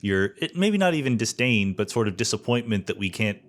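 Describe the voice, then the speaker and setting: Low-voiced male podcast host. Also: very animated, fairly quick. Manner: careful, summarizing questions with some stammered restarts. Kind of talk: unscripted podcast interview.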